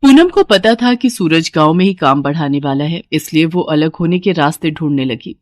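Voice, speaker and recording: A woman's voice: deep voice, animated, moderate pace, no room sound. A woman narrating a scripted cartoon moral story.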